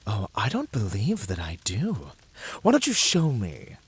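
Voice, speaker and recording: A man's voice: fairly low pitch, huge pitch swings, a little slow, a little hiss or hum, exaggerated voice. A man playing a flamboyant, passionate incubus rival in a flirty ASMR roleplay, with a teasing, theatrical delivery. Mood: mocking.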